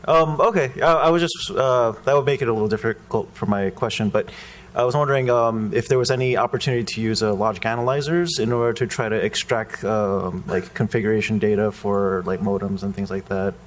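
A man in the audience asks a technical question, hesitating with many ums and uhs. His voice sits a little low, with faint background noise behind it. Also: brisk.

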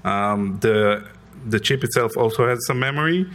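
A man's voice: lowish voice, moderate pace, a bit bright. A man from Israel with an Israeli accent, answering audience questions after a conference talk, hesitant with many ums and restarts. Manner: hesitant, many ums, restarts, light self-deprecating laughs. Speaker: conference speaker.

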